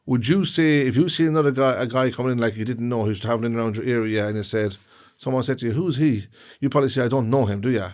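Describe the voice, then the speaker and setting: Man, podcast co-host, casual chat, rambling, repeats himself, middle pitch, indoor room. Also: fairly even intonation, quick pace, few pauses. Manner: rambling, repeats and restarts phrases while explaining.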